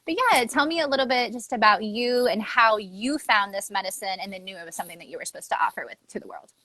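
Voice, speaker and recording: A woman hosting an interview over a video call, affirming her guest in a soft-spoken way. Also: medium pitch, quick pace, no echo, rough recording. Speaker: host. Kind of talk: casual interview chat.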